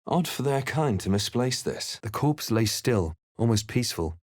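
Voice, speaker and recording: A man voicing a brooding, wry fantasy adventurer hero in a video game, with measured, dramatic delivery. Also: medium pitch, lively intonation, dry recording, clean studio sound, close to an everyday voice. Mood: grave, haunted. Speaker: video game player-character voice actor. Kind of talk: dramatic first-person fantasy game narration and voice lines.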